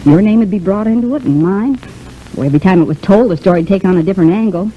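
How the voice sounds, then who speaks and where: An actress playing a quick-thinking, bossy, protective showgirl friend in a vintage radio soap, talking briskly and chattily. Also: mid-range voice, close to an everyday voice. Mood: conspiratorial. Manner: brisk, chatty, takes charge. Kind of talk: 1930s-40s radio soap opera acting. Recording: muffled, hissy vintage radio recording.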